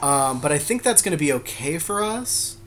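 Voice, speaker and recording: A man's voice: on the high side, sing-song, moderate pace, a bit bright, a little hiss or hum. A man co-hosting a casual podcast, easygoing and agreeable as he weighs card picks. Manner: agreeable, easygoing, backs up his partner. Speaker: podcast co-host. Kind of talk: casual chat while drafting a card game.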